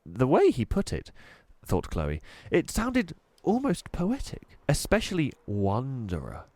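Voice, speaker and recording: A man narrating a children's storybook expressively, with deliberate, dramatic emphasis. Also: mid-range voice, huge pitch swings, a little mellow, dry recording. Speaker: storybook reader.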